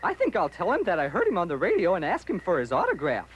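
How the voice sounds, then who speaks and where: An actor playing an eager, naive, childlike sidekick with a husky voice in a children's educational puppet show. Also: male voice, high voice, huge pitch swings, fast talker, heavily acted, low-quality recording. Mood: thrilled. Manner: gee-whiz exclamations.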